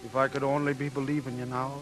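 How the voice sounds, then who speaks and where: A man's voice: medium pitch, flat delivery, fast, a bit bright, natural voice. Actor as an intense, lovesick Irishman. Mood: torn, longing. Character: devout, lovesick, wary Irish man, intense. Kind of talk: 1930s radio drama acting. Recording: dated 1930s radio recording, faint music.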